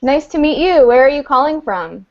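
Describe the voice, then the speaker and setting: A woman teaching English on a video call, her voice pitched a little high and delivered patiently, clearly and encouragingly. The audio is dull and lo-fi. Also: expressive, moderate pace. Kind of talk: online group class.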